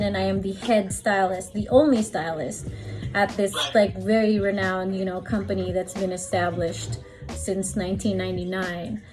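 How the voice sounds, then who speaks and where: A woman's voice: medium pitch, a little mellow. A young adult woman from the Philippines, a fashion stylist, chatting casually in an interview with lots of "like" and "you know".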